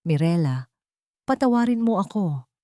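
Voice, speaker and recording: A woman's voice: on the low side, very animated, measured pace, warm tone, no room sound, studio quality. A woman voicing a guilt-ridden male mafia boss in an anguished, pleading manner for an audio love drama.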